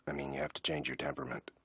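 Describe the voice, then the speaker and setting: A man voicing a stolid cartoon detective's partner in a deadpan, formal and wordy manner, with a husky voice. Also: a bass voice, dry recording, heavily acted. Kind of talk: animated comedy acting.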